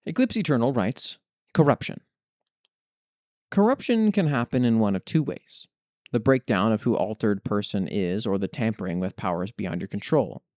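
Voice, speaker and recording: A man's voice: on the low side, lively intonation, moderate pace, a little mellow, no room sound, studio quality. A man hosting a show, reading written fantasy material aloud and adding casual commentary. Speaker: host reading and reviewing listeners' fantasy writing.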